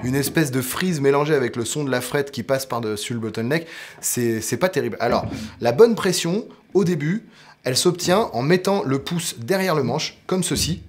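A man's voice: mid-range voice, animated, normal speed, breathy edge. A man, teaching guitar to camera, explaining step by step. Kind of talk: instructional talk to camera. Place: indoor guitar studio with amps. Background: electric guitar playing.

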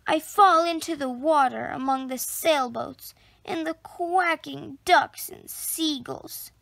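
A woman reading a children's picture book aloud expressively, with deliberate phrasing and dramatic pauses. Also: sits high, vocal fry, a bit bright, no room sound. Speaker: storybook reader.

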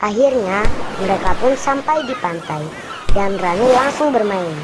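A woman narrating a children's cartoon story in simple, slow storytelling sentences. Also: medium pitch, animated, heavy background noise.

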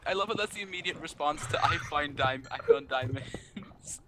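A man's voice: a little high, normal speed. A man playing a multiplayer game and bantering casually over a voice call. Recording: noisy mic with clicks.

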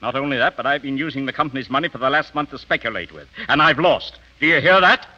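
Blustering, forceful family patriarch. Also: male voice, medium pitch, animated, fast talker. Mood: exasperated. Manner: blunt, sardonic, emphatic. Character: exasperated, blustering middle-aged wealthy family patriarch. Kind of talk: 1930s radio comedy acting. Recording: dull old 1930s radio recording.

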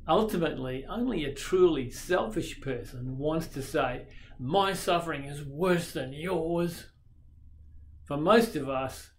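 An Australian man in his sixties delivers a sermon to a camera at desk distance, in a small dim room. He speaks slowly and earnestly at an ordinary pitch, with a reflective, pastoral warmth. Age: 60s. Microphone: webcam facing him at desk distance.

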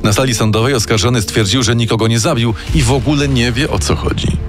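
A man narrating a scripted true-crime radio story in a measured, dramatic way. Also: medium pitch, steady tone. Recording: narration over loud music.

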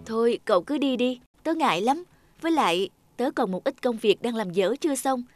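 A woman's voice: on the high side, animated, a little fast, no room sound, faint music behind, close to an everyday voice. A woman voicing a friendly, polite young female office worker in a cartoon. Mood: friendly, earnest. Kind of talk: cartoon voice acting.

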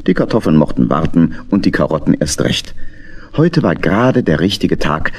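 A man's voice: low-pitched, a little mellow, slightly rough. A man narrating a children's radio play as a scripted storyteller.

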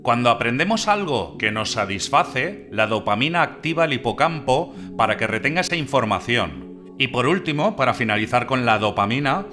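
A man's voice: medium pitch, expressive, moderate pace. A man hosting a channel, reading a scripted explainer voice-over that poses rhetorical questions to the viewer. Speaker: channel host. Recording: clear music bed behind the voice.

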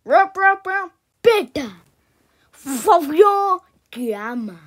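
High-pitched woman doing animated cartoon voices. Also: drawn-out words. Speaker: amateur voice actor dubbing an animation. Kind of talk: exaggerated cartoon voicing of short words and noises.

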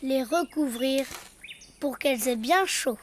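A performer voicing a cheerful, eager little boy donkey in a preschool cartoon, with a childlike, expressive delivery. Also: high voice, slow pace. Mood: cheerful, busy. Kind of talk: preschool cartoon voice acting in French. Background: cartoon music.

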